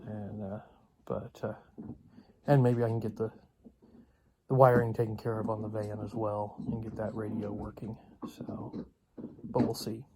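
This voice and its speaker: A man giving a casual, unscripted vlog update in a halting, rambling way with long pauses. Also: fairly low pitch, flat delivery, background noise. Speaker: video blogger.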